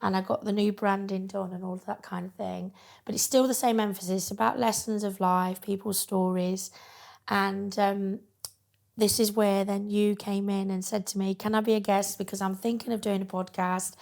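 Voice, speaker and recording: A woman with a croaky voice interviewing a friend in a small studio, friendly and rambling with many ums. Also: mid-range voice, flat delivery, normal speed, darkish tone. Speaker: interviewer, podcaster. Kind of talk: casual interview chat.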